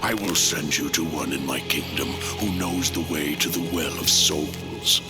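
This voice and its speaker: A merciless king of the dead in a game cutscene. The delivery is low, slow and imperious, under loud cinematic music. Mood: ominous. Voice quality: deep. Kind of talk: video game cutscene acting.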